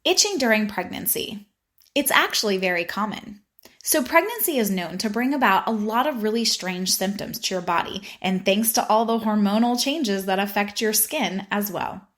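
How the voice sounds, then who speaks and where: A woman's voice: medium pitch, lively intonation, moderate pace, a bit bright. A woman with a Spanish accent hosting a podcast, explaining health topics in an upbeat way with playful asides. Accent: Spanish-accented English. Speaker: podcast host. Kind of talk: informative podcast monologue.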